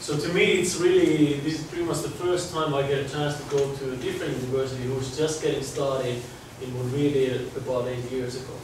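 A man in his forties speaks with a Finnish accent, a guest lecturer talking to students in a chatty, conversational way. He sounds distant from the mic, his voice booming around a big echoey lecture hall. A steady hum of room noise sits under him. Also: a little fast, few pauses. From Finland. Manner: chatty, conversational, restarts phrases. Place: large lecture hall, indoors. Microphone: far camera, no mic seen.

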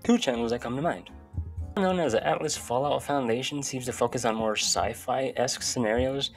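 A man's voice: mid-range voice, normal speed. A young man talks casually into a close desk mic in a small room. He is irreverent and swears freely, with music playing faintly behind him. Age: young adult. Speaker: YouTube video host. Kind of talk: casual video commentary. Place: small bedroom, indoors. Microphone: close desk microphone.

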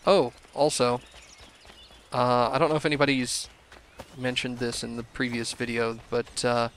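Slightly raspy young man chatting over gameplay. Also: mid-range voice, little pitch movement, unhurried, a bit bright, a little hiss or hum. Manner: rambling, self-deprecating asides. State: hoarse from recovering cold. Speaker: let's play gamer. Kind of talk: casual gameplay commentary.

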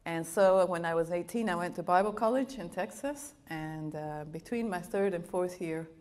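A middle-aged woman missionary who grew up in Bolivia, preaching in a church and telling personal stories in an animated way, with a slight accent. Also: on the low side, moderate pace. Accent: foreign accent of Italian and Latin American upbringing. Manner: animated, laughing, with playful quoted voices. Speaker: guest missionary speaker. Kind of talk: sermon with personal storytelling. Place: church auditorium with a stage. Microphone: handheld microphone at a pulpit, wide camera.

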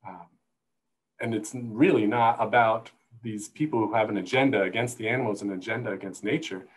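A middle-aged man explaining earnestly and haltingly in a conversational panel answer on a video call. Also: fairly low pitch, slightly rough, rough recording. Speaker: guest, zoo conservation manager. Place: indoor room at home or office, video call. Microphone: wired earphones on a video call.

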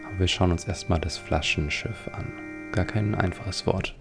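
A man giving casual, musing, unhurried commentary as he plays a video game. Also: deep voice, fairly even intonation, creaky, over music. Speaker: let's player.

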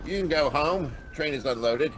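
An actor playing an army sergeant at a wartime station in an audio drama. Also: male voice, fairly high pitch, lively intonation, moderate pace, close to an everyday voice. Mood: matter-of-fact. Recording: loud battlefield noise behind.

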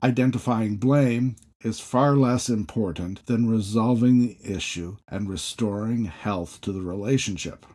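A man, a priest, giving a measured sermon full of questions, each sentence opening higher and settling low. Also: darkish tone, slightly rough. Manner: measured, questioning preaching with rising openings and falling endings.

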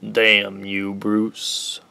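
A man voicing a hard-bitten male police commissioner who gives forceful, commanding orders in a fan radio drama. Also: lowish voice, monotone, extremely slow, gravelly, smooth, no echo, exaggerated voice. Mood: stern.

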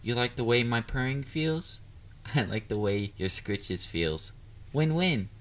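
A man playing a shy, clingy, excitable young catboy boyfriend in an intimate romantic audio roleplay, hesitant and easily flustered. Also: medium pitch, takes it slowly. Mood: relaxed, affectionate. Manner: hesitant, vulnerable, flustered, with stammers.

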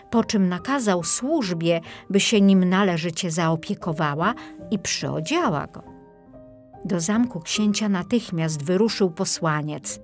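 A woman narrator reading a scripted children's fairy tale. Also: on the low side.

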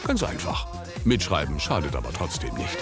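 A man's voice: extremely low pitch, huge pitch swings, moderate pace. A man reading scripted, slightly wry voice-over narration for a television show. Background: loud music with guitar.

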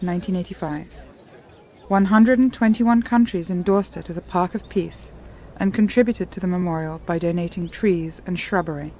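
A woman reading scripted documentary narration in a measured, even way. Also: medium pitch, a little hiss or hum.

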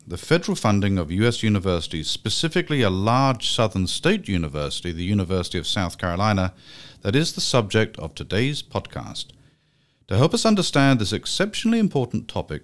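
A middle-aged man hosts a podcast from a small office room, speaking into a studio mic. His voice sits very low, but his intonation swings widely. He talks in a measured, formal way. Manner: measured, formal delivery with fillers in chat. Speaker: podcast host. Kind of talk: scripted intro then interview. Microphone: studio mic with pop filter.

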